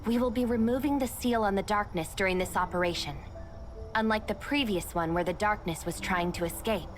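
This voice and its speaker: A woman playing a calm, level-headed adventurer in a video game, speaking matter-of-factly. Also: medium pitch, brisk, close to an everyday voice. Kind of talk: scripted video game dialogue. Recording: music behind the voice.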